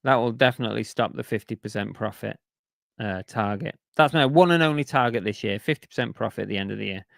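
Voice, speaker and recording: A man's voice: lowish voice, fast, creaky, no room sound, clean studio sound. A man chatting casually on a live stream, reading out viewer comments and grumbling about sales while losing his voice. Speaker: reseller streamer reading chat.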